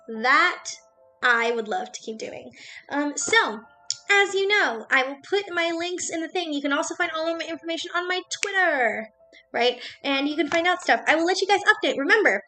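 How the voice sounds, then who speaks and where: A woman's voice: high voice, a bit bright. A woman streamer talking casually and chattily straight to her viewers. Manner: chatty, addressing viewers directly. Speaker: streamer hosting a study-with-me chat stream. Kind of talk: casual livestream chat. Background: music.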